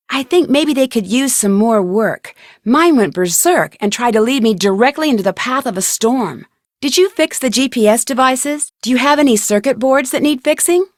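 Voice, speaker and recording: A woman's voice: on the high side, dry recording, studio quality, natural voice. A woman voicing a young female amateur detective in an adventure video game, polite, earnest and curious. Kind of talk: scripted adventure game dialogue.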